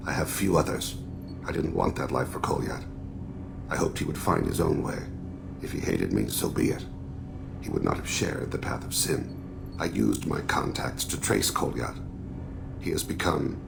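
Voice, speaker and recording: An actor playing a solemn, regretful alien assassin and father in a video game, speaking in measured, formal, introspective phrases with a gravelly voice. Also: background noise, heavily acted. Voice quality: very deep, heavily gravelly. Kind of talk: video game dramatic dialogue.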